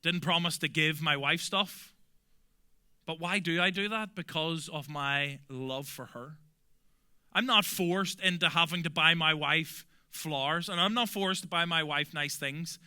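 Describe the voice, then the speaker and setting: A young adult man from Belfast with a Belfast accent, preaching a sermon earnestly and emphatically, often dropping to soft, quiet endings. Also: on the high side, unhurried, smooth. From Northern Ireland. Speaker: pastor.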